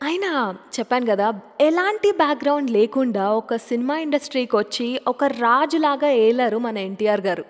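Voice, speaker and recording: A woman's voice: high-pitched, expressive, fairly quick, in a large hall. A woman hosting a radio show, chatting casually and putting questions to her listeners. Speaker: radio host.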